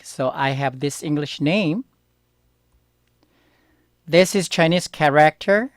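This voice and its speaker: A middle-aged Korean man teaches beginners in English, which is not his first language, and he has a Korean accent. He speaks very slowly and deliberately, leaning on key words. The sound is dry and close, with almost no room around him. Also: a little high, no room sound. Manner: slow and careful, stressing key words, asking learners questions.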